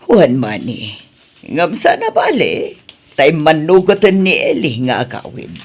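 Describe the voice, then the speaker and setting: Apologetic parent, Ilocano accent, radio drama. Slow, husky, fairly high voice. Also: male voice, huge pitch swings, exaggerated voice. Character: apologetic parent of a grown daughter.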